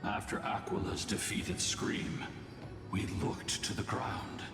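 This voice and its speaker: A man's voice: fairly low pitch, takes it slowly. A man playing a grave, ancient hero recounting a past battle in a scripted video game. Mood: grim, haunted. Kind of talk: slow scripted game narration. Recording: echoey, distant game audio with music.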